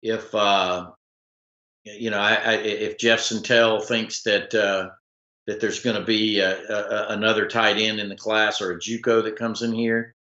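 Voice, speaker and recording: A man hosting a casual sports talk stream, rambling with many fillers and restarts, his voice slightly rough. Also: on the low side, little pitch movement, a little slow, pushed and bright, reverberant, muffled recording. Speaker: sports talk show host. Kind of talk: casual live-stream commentary reading viewer comments.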